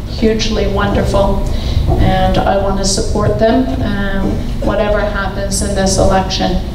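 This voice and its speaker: A woman running for council, giving an emphatic debate speech with rhetorical questions. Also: mid-range voice. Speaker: election candidate. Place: public debate hall. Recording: echoey hall, distant, noisy.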